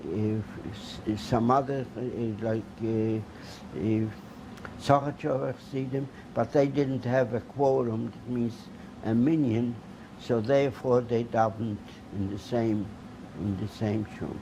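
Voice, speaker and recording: Older man from Poland, native Polish; slow, hesitant survivor testimony, mellow tone. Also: mid-range voice, steady tone, a little hiss or hum, rough recording. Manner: halting, many fillers and restarts. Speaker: Holocaust survivor giving testimony. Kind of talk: oral history testimony.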